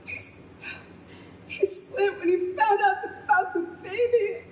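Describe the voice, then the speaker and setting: A woman's voice: very high voice, fairly even intonation, takes it slowly. A woman acting a troubled young single mother in a live old-time radio play, with dramatic, emotional delivery. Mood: grief-stricken, drunk. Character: distraught young single mother, grieving, drinking, later doting on baby. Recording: live stage recording, echoey room, faint noise.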